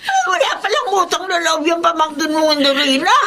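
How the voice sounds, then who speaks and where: An actress playing a sniping, indignant Filipino relative in a radio drama, scornful and egging others on. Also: female voice, very high voice, sing-song, a little fast, pushed and bright, exaggerated voice.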